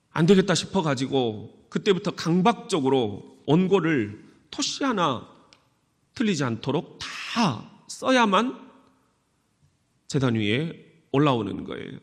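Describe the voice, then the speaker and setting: A middle-aged male pastor preaching slowly and deliberately, with a lively, rising and falling voice. His tone is candid and self-deprecating. The sound carries the long reverb of a church sanctuary. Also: on the high side. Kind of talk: sermon.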